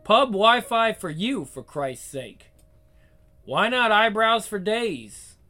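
A man's voice: sits high, very animated, takes it slowly, sharp tone, faint music behind, pitched above the performer's own voice, exaggerated voice. A man voicing a teasing young male kitchen worker with a mocking, sing-song delivery.

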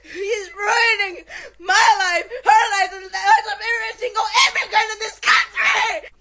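A woman in a social media clip, crying and shouting through her tears. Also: very high voice, steady tone, moderate pace, heavy background noise. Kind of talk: emotional social media video.

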